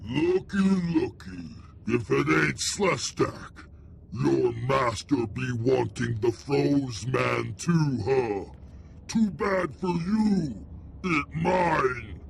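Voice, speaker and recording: An actor voicing a brutish, dim alien gang boss in a video game, blustering and taunting in broken grammar. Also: male voice, medium pitch, animated, extremely slow, vocal fry, slight background noise, exaggerated voice. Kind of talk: cartoonish video game villain acting.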